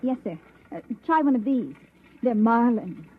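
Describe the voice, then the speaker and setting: An actress playing a deferential young secretary with a breathy, coaxing manner, in an old-time radio drama style. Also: female voice, on the high side, very animated, unhurried, full character voice. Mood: eager, polite. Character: solicitous young secretary coaxing her boss with soft devotion. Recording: thin, narrow-band vintage radio recording.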